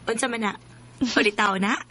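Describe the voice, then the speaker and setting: A woman's voice: a little high, steady tone, moderate pace, bright, forceful tone. A woman with a Bisaya Filipino accent playing a frank, worldly older sister in a radio drama. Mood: curious. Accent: Bisaya (Cebuano) Filipino.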